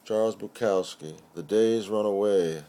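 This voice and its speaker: A man giving an expressive poetry recitation with measured, deliberate phrasing. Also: on the low side. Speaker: poetry reader.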